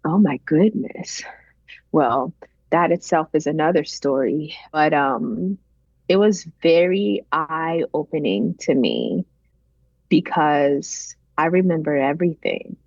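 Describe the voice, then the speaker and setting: A woman's voice: low-pitched, lively intonation, slow, a little mellow, no room sound, muffled recording. A woman interview guest telling her personal story in a reflective, emphatic way, repeating phrases for weight. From Benin, later grew up in the United States.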